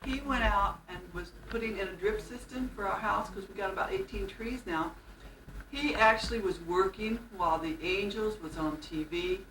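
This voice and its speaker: Brief: older grandmother, warm teasing interjections, faint background noise, roomy distant sound. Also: medium pitch, normal speed. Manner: affectionate, teasing, chiming in. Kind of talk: casual home video message. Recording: echoey room, off-mic, faint noise.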